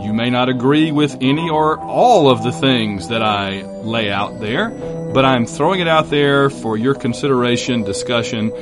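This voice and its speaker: The opening minute of a hobby podcast, a man chatting casually to listeners as music plays clearly underneath. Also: mid-range voice, moderate pace. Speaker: podcast host.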